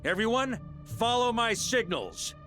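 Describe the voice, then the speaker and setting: Hearty, high-energy knight commander. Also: male voice, sing-song, a little slow, music in the background, exaggerated voice. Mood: confident, rallying. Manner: commanding, rallying, easygoing between orders. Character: hearty, confident male grand master of knights. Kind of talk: video game voice acting.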